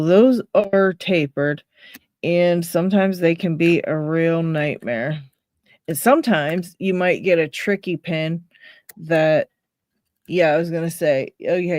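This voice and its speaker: A woman's voice: sits low, measured pace, a little mellow, dry recording, studio quality. A woman with a Pittsburgh accent, hosting a casual live stream and chatting with viewers while she reads names from chat and laughs often. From Pennsylvania, USA. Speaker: livestream host.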